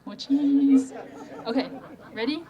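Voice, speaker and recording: A woman's voice: high-pitched, takes it slowly, dark tone. A young adult woman co-hosting an event, speaking informally to an audience. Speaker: event co-host. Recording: outdoor event with crowd sounds. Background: crowd.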